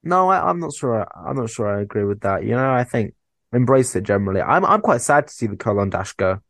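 A man's voice: medium pitch, very animated, fairly quick, creaky, fairly bright tone, no room sound, clean studio sound. A young man co-hosting a podcast, bantering in a teasing, mock-indignant way. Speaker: podcast co-host. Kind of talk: casual chat.

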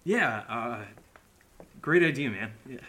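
A nervous, stammering goofball over noise. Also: male voice, mid-range voice, animated, takes it slowly, bright, forceful tone. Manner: stammering, repeating words when flustered. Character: nervous, goofy, easily flustered male amateur investigator, Steve. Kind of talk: naturalistic horror-comedy audio drama dialogue.

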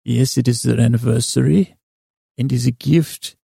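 A man's voice: medium pitch, fairly even intonation, normal speed, creaky, warm tone, slightly rough, no echo, studio quality. A man voicing a grandly self-assured hotel concierge, courteous and boastful, with formal, pompous phrasing, in a comic podcast.

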